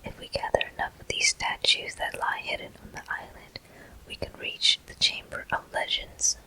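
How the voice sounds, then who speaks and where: A gaming host giving gentle, soothing ASMR commentary in an unhurried, breathy, unvoiced whisper. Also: low-quality recording.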